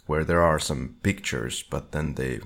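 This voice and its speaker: A man co-hosting a casual analytical discussion, speaking in a halting, hedging way. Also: rumbling low, gravelly, a bit bright. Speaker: podcast co-host.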